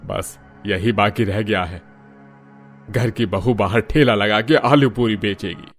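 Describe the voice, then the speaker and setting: A man's voice: fairly low pitch, a little slow, soft background music, close to an everyday voice. A man voicing an older father-in-law, a plain-spoken poor vegetable seller, in a Hindi cartoon story. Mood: grumbling.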